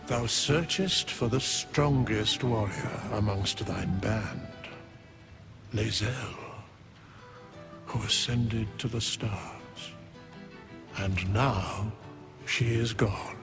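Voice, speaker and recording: An actor playing an ancient, solemn undead sage in a video game, making grave, archaic, measured pronouncements. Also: male voice, fairly low pitch, vocal fry, music in the background, full character voice. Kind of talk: video game character acting.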